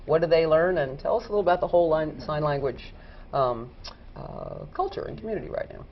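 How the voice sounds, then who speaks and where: A woman's voice: low voice, lively intonation, fairly quick. A woman hosting a talk show interview, asking chatty, rambling questions. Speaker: interview host. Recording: dull lo-fi studio recording.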